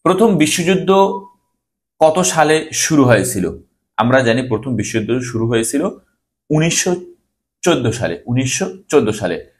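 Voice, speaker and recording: A man with a Bangladeshi accent and a medium-pitched voice teaches like an exam tutor. He reads out questions, then states the answers in an instructive manner, often repeating the key words for the student. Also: big pitch swings, moderate pace, long pauses. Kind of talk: exam-prep lesson, reading questions and answers aloud.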